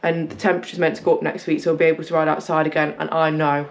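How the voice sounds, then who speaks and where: A young woman, chatting casually to her camera as a cycling vlogger, rambling and talkative, her voice a bit croaky from just waking up. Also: low voice, little pitch movement, very fast. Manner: chatty, rambling, talks a lot. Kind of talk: casual vlog chat to camera. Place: home, then outdoors on a walk.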